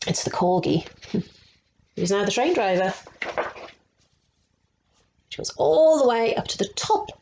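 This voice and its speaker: A woman reads a children's picture book at a very unhurried pace. Her voice sits slightly low for a woman. She keeps stepping out of the story to explain things and prompt small listeners with questions, over a faint background noise. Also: vocal fry, warm tone, rough recording. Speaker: resident story teller.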